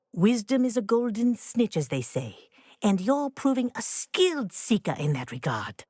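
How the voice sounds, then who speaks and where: A woman voicing a brisk, encouraging flying instructor with a husky voice. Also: fairly high pitch, dry recording, studio quality.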